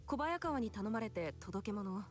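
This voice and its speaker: A woman's voice: medium pitch, lively intonation, fast talker, soft background music, natural voice. An actress playing a poised, firm female special agent in a visual novel, formal and matter-of-fact. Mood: businesslike. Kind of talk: Japanese visual novel acting.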